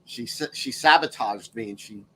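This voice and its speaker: A man's voice: medium pitch, normal speed, bright, forceful tone, slight background noise, poor audio. A man co-hosting as a drag queen, chatting casually, giggling often, with lots of stumbling restarts. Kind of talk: casual chat.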